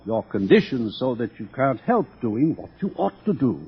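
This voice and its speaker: A man's voice: mid-range voice, big pitch swings, moderate pace, breathy edge, heavily acted. A man playing an urbane, smug older world controller in a theatrical radio drama, pompous and patronizing as he lectures. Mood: smooth, patronizing. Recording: dull, lo-fi radio drama recording.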